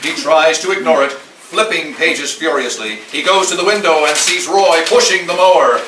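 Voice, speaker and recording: A man with a high-pitched voice reads screenplay action lines as narrator at a staged reading. He is heard from a distance in an echoey room, with clear noise behind him. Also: moderate pace. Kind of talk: scripted narration at a live table read. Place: live staged event in a room.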